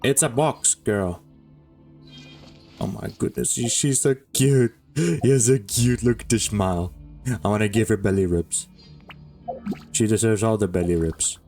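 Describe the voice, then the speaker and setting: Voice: mid-range voice, measured pace, pauses often, mellow. A game streamer making casual, playful commentary, gushing and easily flustered while chatting to the characters. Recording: game music behind the voice.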